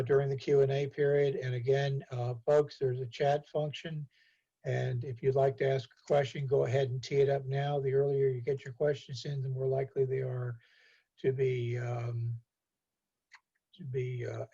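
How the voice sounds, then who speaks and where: Mellow, husky-voiced male host. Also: medium pitch. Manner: many filler pauses, steady and cordial. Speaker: host and executive director. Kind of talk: event introduction. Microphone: video call. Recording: muffled video call audio.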